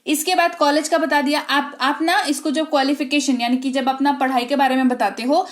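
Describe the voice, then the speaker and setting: Indian woman teaching English, high voice. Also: steady tone. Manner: slow, emphatic, checks understanding often. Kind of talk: spoken English lesson.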